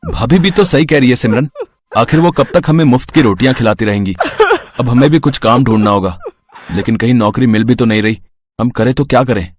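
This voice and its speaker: A man's voice: mid-range voice, fairly quick, close to an everyday voice. An actor playing a reasonable, earnest young married man in a storybook tale. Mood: worried.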